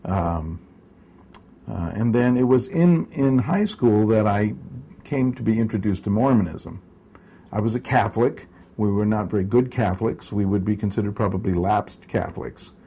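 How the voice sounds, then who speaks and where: Older man, dark mellow tone, rough gravelly texture, halting storytelling, wry humor. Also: on the low side. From United States, raised in Southern California. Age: 60s. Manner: rambling, hesitant with many fillers and restarts; wry, self-mocking humor. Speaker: interviewee. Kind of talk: oral history interview.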